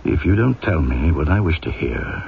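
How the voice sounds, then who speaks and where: An actor playing a cold, stern, scheming police inspector in an old-time radio drama. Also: male voice, a bass voice, very animated, moderate pace, gravelly, exaggerated voice. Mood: stern, insinuating. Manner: clipped, sardonic, domineering. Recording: dull, noisy old radio recording.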